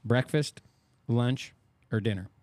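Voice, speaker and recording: A man's voice: medium pitch, takes it slowly, darkish tone, dry recording. A man hosting a podcast, running a casual quiz with teasing, playfully bossy banter. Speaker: podcast host.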